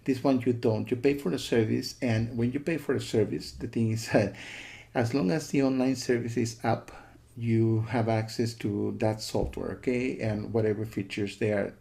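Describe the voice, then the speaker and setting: A man's voice: medium pitch, fairly even intonation, moderate pace, gravelly, mellow. A man giving a casual, disapproving spoken review, repeating himself and often checking in with "okay" and "you know.". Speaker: software reviewer.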